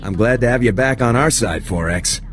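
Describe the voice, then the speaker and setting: An actor playing a dutiful, restrained military lieutenant in a video game, terse and earnest. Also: male voice, medium pitch, moderate pace, a little hiss or hum, natural voice. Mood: approving. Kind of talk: video game character acting.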